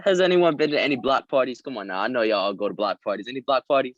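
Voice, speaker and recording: A man of medium pitch reads from a script and prompts other readers. The sound is close and dry, with the slightly dull band of call audio. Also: fast talker, no room sound. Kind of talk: table read, reading lines and coaching. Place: video call table read.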